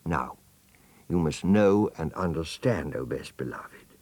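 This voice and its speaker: A man's voice: extremely low pitch, lively intonation, slow pace, a little mellow, no room sound. A man narrating a children's storybook theatrically, savouring the words and addressing the listener directly. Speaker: audiobook narrator.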